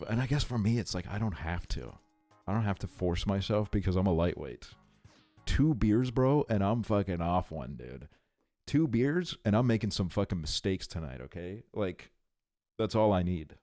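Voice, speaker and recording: A man's voice: deep voice, expressive, normal speed, darkish tone, no echo, clean studio sound, faint music behind. A man from Estonia livestreaming a video game, chatting casually in slangy, sweary talk. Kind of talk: casual chat while streaming a video game.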